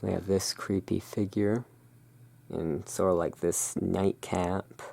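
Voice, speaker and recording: A hushed, soothing and unhurried voice in an ASMR roleplay, playing the owner of a gothic curiosity shop. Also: low-pitched, steady tone, gravelly. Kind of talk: ASMR roleplay, showing tarot cards.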